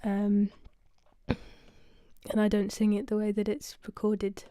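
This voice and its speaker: A woman talks softly between songs from a small, cosy corner of her home, her voice a little nasal and stuffy from a blocked nose. She keeps it casual and often drops into quiet, whispered asides. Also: medium pitch, completely flat delivery, takes it slowly, clear, resonant tone, no echo, clean studio sound. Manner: soft-spoken, drifting into quiet whispered asides. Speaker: singer-songwriter performing a livestream concert. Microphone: home livestream setup.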